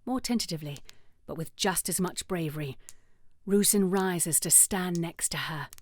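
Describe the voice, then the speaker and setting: A woman narrating a fantasy audio drama in a measured, descriptive storytelling manner. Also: fairly low pitch, a little mellow, no room sound, close to an everyday voice.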